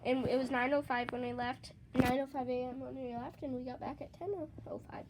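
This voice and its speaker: A teenage girl vlogging casually with a stuffy, congested voice from a cold, chatty and excitable. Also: high-pitched, brisk, noisy background. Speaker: slime vlogger. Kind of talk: casual vlog chat.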